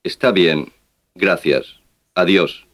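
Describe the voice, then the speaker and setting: A man's voice: low voice, takes it slowly, a bit bright. A man playing a polite customs officer who asks formal questions in a scripted language-lesson dialogue.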